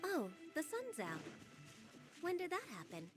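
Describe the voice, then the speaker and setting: An actress playing a brisk, businesslike young woman in a video game. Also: very high voice, huge pitch swings, exaggerated voice. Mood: light, brisk. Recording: game audio under music.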